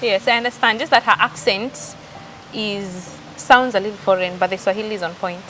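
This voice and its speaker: A woman from Kenya, co-hosting a vlog in an excitable, exclaiming way. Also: mid-range voice, moderate pace, a little hiss or hum, rough recording. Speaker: co-host. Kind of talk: casual vlog chat.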